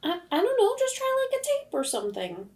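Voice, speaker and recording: A woman's voice: extremely high pitch, wildly swooping pitch, brisk, a little mellow, voice pushed up, heavily acted. A woman playing a defensive teenage daughter, protesting hesitantly and stammering.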